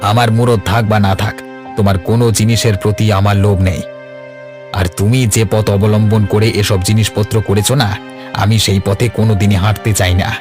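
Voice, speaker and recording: A man voices a headstrong village uncle in a cartoon tale. He speaks quickly in a lowish, curt voice, blunt and unbending, over faint music. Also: little pitch movement, lightly acted. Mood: angry, defiant. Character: blunt, stubborn grown son and village uncle. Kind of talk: Bengali cartoon voice acting. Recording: music under the voice.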